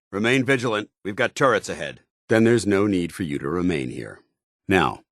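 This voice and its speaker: An American actor playing a stern, disciplined armored soldier in a video game, speaking in a formal, clipped, commanding way. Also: male voice, low-pitched, dry recording, studio quality. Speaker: video game companion character. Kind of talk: scripted game dialogue and combat barks.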